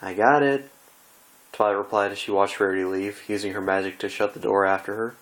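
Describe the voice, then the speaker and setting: Low-pitched man narrating a story. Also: completely flat delivery, fast, fairly bright tone, poor audio. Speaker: amateur fan fiction reader.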